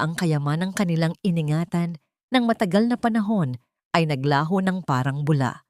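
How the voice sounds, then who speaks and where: A woman's voice: fairly low pitch, a little mellow, no echo, studio quality. A woman reading a scripted, dramatic story as its narrator.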